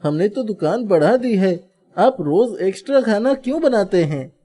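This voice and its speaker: A woman's voice: medium pitch, animated, moderate pace, warm tone, natural voice. A woman voicing an eager, deferential young male restaurant helper in a storybook tale. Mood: eager, curious. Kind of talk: animated storybook dialogue.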